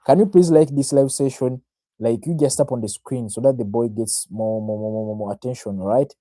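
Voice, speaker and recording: Mellow, dark-voiced Ugandan man livestreaming. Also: medium pitch, fairly quick, dry recording, soft background music. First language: Luganda. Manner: self-assured, addresses viewers directly, repeats phrases, checks in with "right?". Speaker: trader, livestream host. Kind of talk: informal live market analysis, explaining to viewers.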